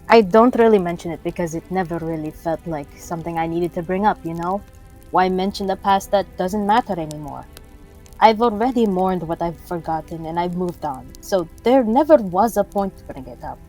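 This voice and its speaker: A woman's voice: mid-range voice, darkish tone, natural voice. A woman playing a reserved, vulnerable young woman adventurer in a fantasy audio drama, hesitant and understated. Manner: hesitant, understated, searching for words.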